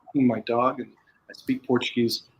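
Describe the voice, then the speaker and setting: A man's voice: mid-range voice, little pitch movement, moderate pace, slight background noise, rough recording. A man with an American accent, a podcast guest in casual chat, talking in a rambling way with frequent restarts.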